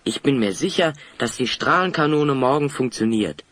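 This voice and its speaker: An actor playing a loyal, polite young adventurer in an audio drama, speaking in a measured, confident way. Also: male voice, mid-range voice, animated, a bit bright, close to an everyday voice. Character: loyal, level-headed young male adventurer. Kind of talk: German audio drama acting.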